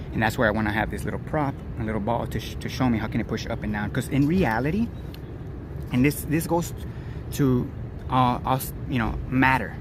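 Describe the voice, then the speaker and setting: A man with a raspy voice hosting his own live stream, rambling casually and stumbling over his words. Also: on the low side, noisy background. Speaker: streamer and channel host.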